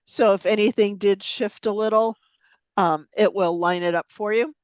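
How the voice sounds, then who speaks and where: Woman presenter, slight cold, chatty, casual teaching, dry sound, mid pitch. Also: steady tone, normal speed, no echo, muffled recording. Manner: chatty, laughs easily, lots of ums. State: has a slight cold, sniffling. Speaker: presenter teaching photo editing software. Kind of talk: live software demonstration. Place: meeting room or online forum.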